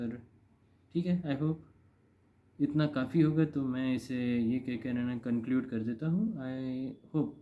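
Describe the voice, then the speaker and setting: Indian male vlogger, thirties, very dark relaxed tone, repetitive confessional delivery, muffled low-fidelity recording. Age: 30s. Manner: rambling, repeats phrases for emphasis. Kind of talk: casual confessional monologue to camera. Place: indoor room. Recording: dull, lo-fi.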